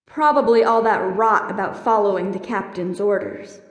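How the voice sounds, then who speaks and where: A woman playing a sharp-tongued, wry teenage girl in a full-cast audiobook, dry and sardonic. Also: medium pitch, moderate pace, no echo, close to an everyday voice. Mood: dismissive.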